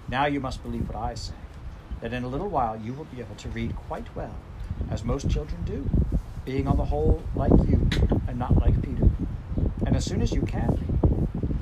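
A man voicing a kindly elderly grandmother, gentle but firm with a child, in a storybook reading. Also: mid-range voice, close to an everyday voice. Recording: loud background noise.

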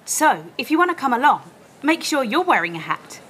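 An excitable, helpful library assistant played by a woman in a family audio play. Her voice is bright and high, with animated swings and an encouraging manner. There is faint noise behind her. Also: moderate pace. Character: eager, helpful young woman at a library helpline.

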